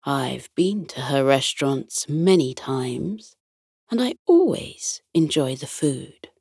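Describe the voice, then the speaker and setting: A woman voicing a kindly male teddy bear sea captain with a deep, calming, slow and courteous way of talking. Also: slightly rough, no echo, studio quality, full character voice. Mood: inviting, unhurried.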